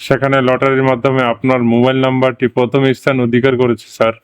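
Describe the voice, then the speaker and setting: A man's voice: mid-range voice, flat delivery, quick pace, smooth, no room sound, natural voice. A man playing a polite scam caller who poses as a company agent, with a Bangladeshi accent and a formal, explanatory manner. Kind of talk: scripted prank phone call dialogue.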